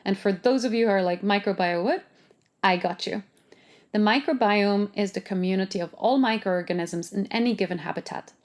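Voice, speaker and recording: A woman host reads an upbeat scripted introduction. She slips in playful little asides. Her voice sits at an ordinary middle pitch. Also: a little fast. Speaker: podcast host. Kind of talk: scripted narration.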